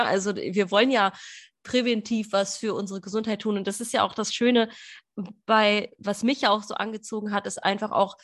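A woman's voice: mid-range voice, a bit bright. A woman co-hosting a podcast as a business coach, chatting casually and enthusiastically, with many fillers. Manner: enthusiastic, gushing, lots of fillers.

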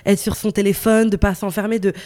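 A woman's voice: mid-range voice, flat delivery, brisk, warm tone. A woman in her late twenties from northern Italy, a guest chatting casually and bubbly in an interview, laughing easily and full of fillers. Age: 20s.

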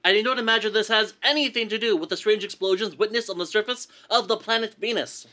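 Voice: mid-range voice, quick pace, bright, forceful tone, natural voice. A performer playing an earnest, formal radio news reporter in a comic radio play. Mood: grave, inquiring.

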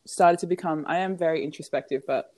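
A woman's voice: deep voice, brisk, darkish tone, no echo. A young Australian woman with an Australian accent, a podcast guest chatting in an easygoing way with hesitant fillers. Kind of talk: casual interview chat.

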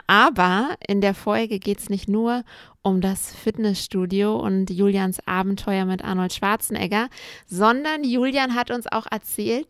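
Relaxed woman podcast co-host, dry sound. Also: medium pitch, no room sound. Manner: relaxed, conversational, quick asides. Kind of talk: casual chat. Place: home in a city neighborhood.

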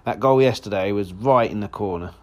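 A man with a hoarse voice, hosting a solo football podcast in a rambling, casual way with lots of restarts. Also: on the low side, a little fast, darkish tone, dull audio. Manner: rambling, frequent restarts and fillers.

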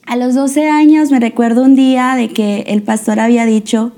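A young woman from the United States, speaking as a guest, with a mellow, warm tone set a little high. She tells her story hesitantly, pausing on fillers and restarting her phrases. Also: fairly even intonation. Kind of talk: testimony interview.

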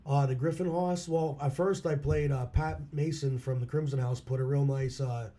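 A hoarse man from Pennsylvania, a local musician, telling stories about his past in a plain-spoken way. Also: mid-range voice, fairly even intonation, normal speed, warm tone. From Schuylkill County, Pennsylvania. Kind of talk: casual interview chat.

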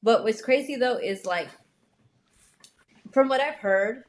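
A woman's voice: a little high, slow, a crowd in the background. A young woman co-hosting a podcast in casual chat, upbeat and slangy, with lots of fillers. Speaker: podcast co-host.

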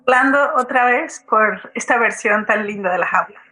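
A woman's voice: a little high, moderate pace, background noise. A woman speaking casually as the guest playwright in a forum conversation.

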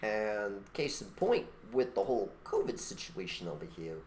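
A man's voice: fairly low pitch, sing-song, normal speed, darkish tone, a little hiss or hum. A man co-hosting a podcast, talking in a blunt, emphatic way and making rhetorical points in an opinionated conversational monologue. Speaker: podcast co-host.

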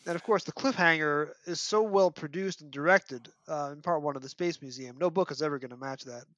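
Higher-pitched man, dull lo-fi recording. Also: fairly quick, a bit bright, no room sound. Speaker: podcast host. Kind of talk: casual fan discussion.

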